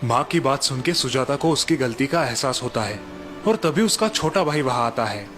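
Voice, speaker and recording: A man's voice: medium pitch, moderate pace, faint music behind. Man narrating a bedtime story. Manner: even, explanatory narration. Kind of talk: scripted storytelling.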